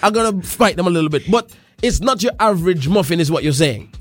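High-voiced playful male radio host. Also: lively intonation, fast talker, faint music behind. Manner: playful, laughs often, repeats phrases. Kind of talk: casual radio interview.

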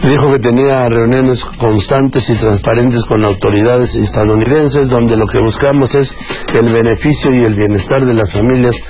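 A man reading a news report in a steady, measured way. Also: medium pitch, soft background music. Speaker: news reader.

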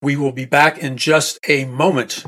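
A man hosting his own talk-radio show, with a husky, hoarse throat. He preaches in an emphatic, repetitive way and restates his points. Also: medium pitch, little pitch movement, moderate pace, fairly bright tone.

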